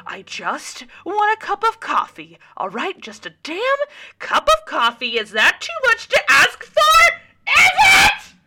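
A woman voicing an exasperated, stubborn pregnant woman who argues insistently and rises to shouting rants. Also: medium pitch, lively intonation, measured pace. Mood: furious, ranting. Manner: ranting, insistent, rising to shouts.

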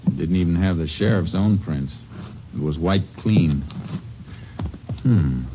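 An actor playing a calm, shrewd Texas Ranger investigator in a 1950s radio drama, talking in a steady, deliberate way. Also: male voice, sits low. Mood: calm, probing. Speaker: lead actor. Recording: dull old radio recording with faint hiss.

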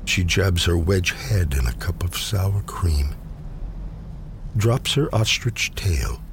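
A man reading poetry aloud in a dramatic recitation, with measured, deliberate phrasing and pauses. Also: low-pitched, lively intonation, background noise. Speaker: poetry reader. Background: faint ambient sound design.

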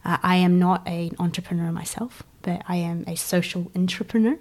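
A woman guest in an interview, speaking hesitantly with many pauses and fillers in a soft, breathy way. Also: fairly low pitch, steady tone. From China. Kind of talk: casual interview conversation.

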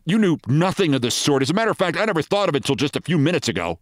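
Comic short-story husband, acted by a male reader: middle-aged, indignant, obstinate, petulant, lively pitch, dry studio-like sound. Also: moderate pace, no echo. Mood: annoyed, indignant. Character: peevish, stubborn middle-aged husband plotting clumsily.

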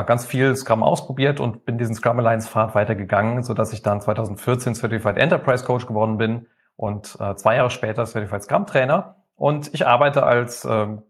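Man from the Rhineland, mid-pitched voice, relaxed and chatty, panel introduction. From Rhineland, Germany. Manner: relaxed, chatty, with many fillers and hesitations. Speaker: agile coach and company founder.

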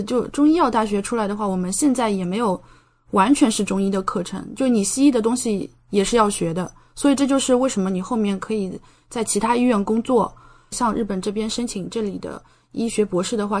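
A woman's voice: medium pitch, a little fast. A woman in her thirties from China chatting casually as an interview guest, telling stories vividly and often checking in with the listener. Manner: chatty, animated storyteller who re-enacts speech and checks in with the listener. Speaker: podcast guest, medical doctoral student.

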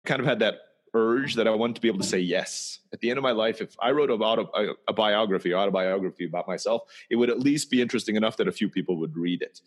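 A man who grew up in Turkey, telling his life story in a relaxed, conversational way, sometimes restarting and repeating words. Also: lowish voice, fast. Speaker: interview guest. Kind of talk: storytelling personal history.

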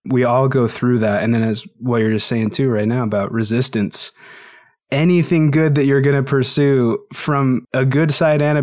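A man's voice: mid-range voice, darkish tone. A man from Utah and Idaho hosting a podcast, encouraging and thinking aloud with restarts. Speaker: podcast host. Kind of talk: casual podcast conversation.

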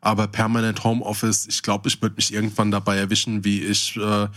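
A man's voice: lowish voice, completely flat delivery, brisk, a bit bright. A man with a German accent chatting casually as co-host of a podcast.

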